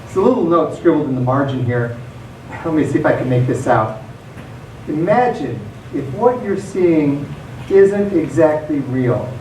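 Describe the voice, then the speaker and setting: A man's voice: a little high, animated, unhurried, warm tone. A man playing a folksy, musing journal reader in a live radio theatre monologue. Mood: reflective. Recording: distant echoey stage recording, noisy.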